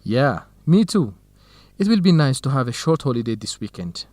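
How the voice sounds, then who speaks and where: An actor playing an earnest, modest young Ethiopian research partner and interpreter in an educational radio drama. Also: male voice, mid-range voice, very animated, moderate pace, darkish tone, no room sound, lightly acted. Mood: friendly, earnest.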